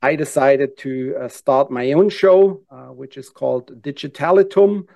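A man from Germany with a German accent, calmly describing his career as a podcast guest, with frequent "uh" fillers. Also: medium pitch, measured pace, gravelly, dry recording, highs cut off. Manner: steady and methodical, frequent "uh" fillers. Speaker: guest, founder. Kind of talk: interview answers.

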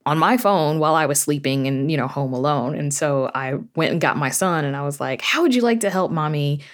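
A woman's voice: low-pitched, animated, a little fast. A woman speaking as a guest in a conversational interview, informal and reflective, telling things like stories. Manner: informal, reflective storytelling with quoted asides. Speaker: guest, horror fiction writer and podcast creator.

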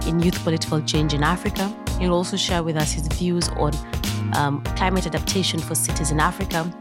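A woman with a low-pitched voice works as a podcast host, delivering introductions and questions in a prepared manner. Clear music plays behind her voice.

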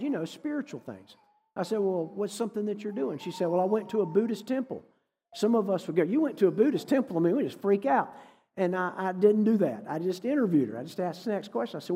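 A man's voice: high voice, warm tone, breathy edge. A middle-aged man, telling a story as a guest speaker in a conversational sermon style. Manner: folksy, conversational storytelling with quoted dialogue. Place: church hall. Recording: echoey hall.

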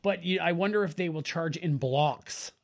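A man's voice: a little high, normal speed, gravelly. A man co-hosting a podcast, joking and swearing through casual, exclamatory film commentary. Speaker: podcast co-host.

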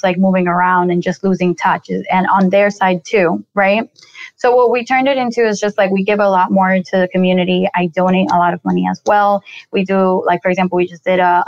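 A woman's voice: medium pitch, no echo, lo-fi sound. A woman in her twenties from Colombia, a guest on an interview podcast, telling her story in a casual, chatty way full of "like" and "you know". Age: 20s. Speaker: podcast guest, real estate investor.

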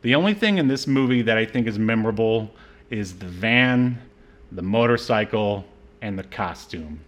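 A man's voice: on the low side, expressive, moderate pace, muffled recording. A man hosting a podcast, talking through a film in a casual, rambling and hesitant way. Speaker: podcast host. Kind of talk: casual film review chat.

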